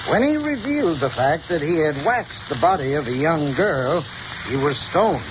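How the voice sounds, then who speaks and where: A man plays a learned professor who lectures in a measured way. His slightly high voice rises and falls with lively expression. It comes through a muffled, hissy 1930s radio broadcast. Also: natural voice. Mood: lecturing. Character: scholarly male professor expert. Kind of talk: 1930s radio drama acting.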